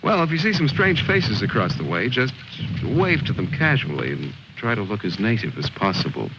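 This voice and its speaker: An actor playing a wry, cool-headed army lieutenant, sardonic and commanding, in 1950s war film style. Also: male voice, lowish voice, big pitch swings, normal speed. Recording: dull, very noisy old film soundtrack.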